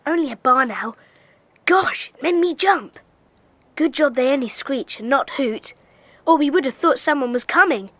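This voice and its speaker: A woman playing a brisk, earnest schoolboy who leads a band of child detectives in a children's audio drama. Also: high-pitched, expressive, fairly bright tone, no room sound. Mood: hushed, commanding. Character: Peter, bossy schoolboy leader of child detectives.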